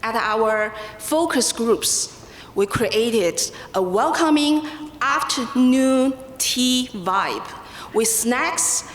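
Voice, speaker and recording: A woman's voice: fairly high pitch, lively intonation, very slow. A woman whose first language is Mandarin, working as a community liaison and giving a careful, prepared speech. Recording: roomy hall reverb, faint noise.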